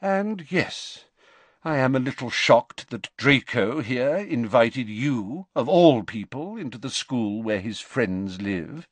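Man doing a calm, courteous old wizard. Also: mid-range voice, dry recording, voice pushed up. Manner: quiet, measured. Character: elderly male wizard headmaster, calm, courteous.

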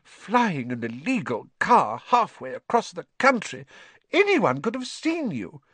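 Fussy scolding witch mum, a guy putting her on, high and snappy, bounces up and down a lot. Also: huge pitch swings, unhurried, breathy edge, dry recording, heavily acted. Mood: fretful scolding. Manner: scolding, snappy, then softening. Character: fussing, sharp-tongued middle-aged witch mother.

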